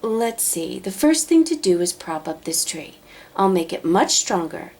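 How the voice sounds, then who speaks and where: A woman voicing a huge male elephant in a children's storybook, gentle, faithful and earnest. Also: mid-range voice, very animated. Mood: earnest, resolved. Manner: earnest, deliberate. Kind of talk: children's storybook read-aloud.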